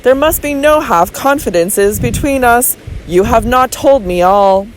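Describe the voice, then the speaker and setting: A woman reading the part of a calm, deliberate male physician in an audiobook, quiet and measured. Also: fairly high pitch, a little hiss or hum, low-quality recording. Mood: probing.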